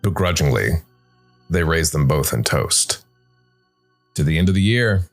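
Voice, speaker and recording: A man hosting and narrating a scripted story podcast in a measured way, with dramatic pauses. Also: very deep voice, lively intonation, creaky. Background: soft music bed.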